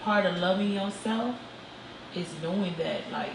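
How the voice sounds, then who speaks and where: A woman's voice: medium pitch, a little slow, vocal fry. Earnest woman in her forties. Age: 40s. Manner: earnest and reflective, laughs easily. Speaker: guest. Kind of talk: casual chat. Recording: echoey room with background noise.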